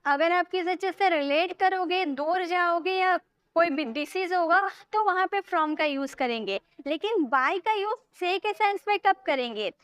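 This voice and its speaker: A woman teaching English grammar in an online lesson. She explains in a repetitive way, often checks that her students follow, and says English example sentences slowly as if dictating. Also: extremely high pitch, fairly bright tone, no room sound. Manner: repetitive, checks understanding with frequent questions.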